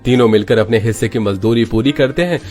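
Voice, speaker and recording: A man's voice: mid-range voice, fast, a little mellow. Male story narrator over music. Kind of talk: scripted dramatic Hindi story narration.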